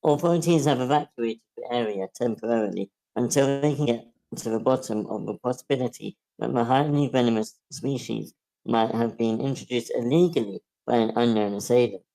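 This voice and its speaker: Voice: medium pitch, a little fast, vocal fry, mellow, lightly acted, rough recording. A performer playing a television news reporter, reading a formal warning report at a screenplay table read.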